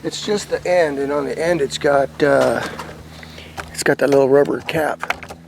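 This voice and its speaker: An American man giving a casual, rambling hands-on demonstration to camera, informal and thinking out loud as he goes. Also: mid-range voice, measured pace, vocal fry, background noise. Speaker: hobbyist vlogger demonstrating CB radio gear.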